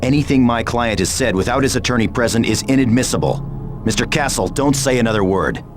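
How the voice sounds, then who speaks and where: A man's voice: mid-range voice, sing-song, brisk, music in the background, lightly acted. An actor playing an assertive male defense attorney in a video game, formal and insistent. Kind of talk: video game cutscene acting.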